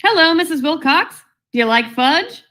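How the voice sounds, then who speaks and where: A woman's voice: sits high, animated, normal speed, sharp tone. A woman playing a sensible, dryly witty housewife in an old-time radio comedy, polite and arch. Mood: polite, curious.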